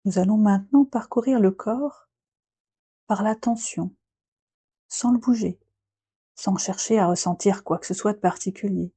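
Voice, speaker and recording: Slow, dark, breathy woman guiding relaxation. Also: mid-range voice, no echo. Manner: gentle, unhurried, with long pauses between short phrases. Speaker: yoga nidra guide. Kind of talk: guided relaxation meditation, slow and soothing.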